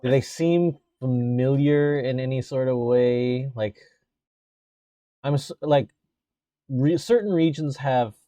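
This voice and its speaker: A man's voice: mid-range voice, slow pace, pauses often, dark tone, no room sound. A man playing in a tabletop game, talking hesitantly and filling gaps with "like". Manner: hesitant, full of "like". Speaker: player. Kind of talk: casual tabletop game chat.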